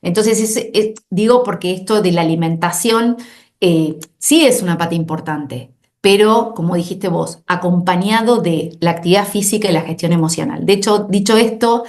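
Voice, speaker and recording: A woman's voice: on the low side, normal speed, a little mellow. A woman from Argentina with an Argentine Spanish accent, a doctor guest on a podcast, explaining earnestly and often repeating words as she talks. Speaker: obesity specialist doctor, guest. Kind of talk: conversational podcast interview.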